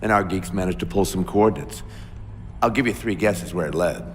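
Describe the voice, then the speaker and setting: An actor as a gruff, deep-voiced captain. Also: male voice, brisk, big-room sound, slight background noise, lightly acted. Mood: commanding. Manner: gruff, authoritative. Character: gruff commanding ship captain. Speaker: ship captain.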